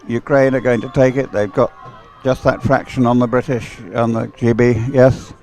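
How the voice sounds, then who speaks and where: A man's voice: medium pitch, little pitch movement, moderate pace, a little mellow, faint music behind, low-quality recording. A man doing live sports commentary and off-the-cuff chat in a hesitant, rambling way, often repeating words.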